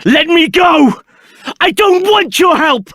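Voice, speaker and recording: An actor playing an arrogant, ambitious and bitter villain in a fantasy video game, speaking in a haughty, declamatory, deliberate way. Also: male voice, very high voice, very animated, a bit bright, no echo, studio quality, exaggerated voice. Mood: angry, panicked. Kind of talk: theatrical video game character acting.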